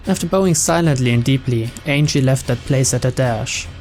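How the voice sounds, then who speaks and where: A man's voice: mid-range voice, brisk, a little mellow, soft background music. A man playing through a visual novel, reading its narration aloud in English as a storyteller. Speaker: video game let's player.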